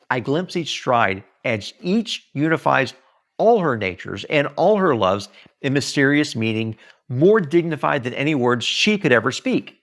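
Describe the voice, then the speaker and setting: A man reads his own writing aloud like an audiobook author. His pitch moves widely from phrase to phrase, and his delivery is earnest and emphatic, with something of the preacher in it. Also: gravelly, fairly bright tone. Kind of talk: expressive audiobook-style reading.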